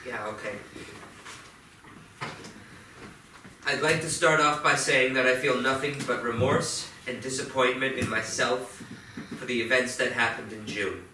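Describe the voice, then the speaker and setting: A man in a staged reading playing a remorseful teenage boy, speaking earnestly and deliberately. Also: mid-range voice, steady tone, bright, forceful tone, close to an everyday voice. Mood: nervous remorse. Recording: echoey theatre, far mic, faint noise.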